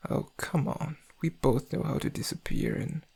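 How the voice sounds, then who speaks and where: Smug, seductive male criminal played by a man for ASMR roleplay; husky, slightly higher-set voice, intimate drawl with menace underneath. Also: wildly swooping pitch, normal speed, darkish tone, heavily acted. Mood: coaxing. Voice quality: gravelly, husky. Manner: intimate, teasing, drawling, often dropping to breathy murmurs. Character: suave, menacing male thief, flirtatious and smug.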